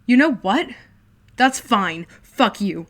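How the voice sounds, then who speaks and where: A woman's voice: medium pitch, lively intonation, moderate pace, close to an everyday voice. A woman plays a wounded younger brother who snaps back with heated defiance. The voice sits mid-range and bristles at every jab. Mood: furious. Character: angry hurt younger brother, teen or young man.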